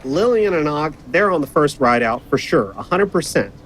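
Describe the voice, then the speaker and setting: An animated, higher-voiced young idealist. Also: male voice, normal speed, slight background noise, rough recording. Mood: gentle, earnest. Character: animated, idealistic young man, earnest and opinionated. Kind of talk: audio drama acting.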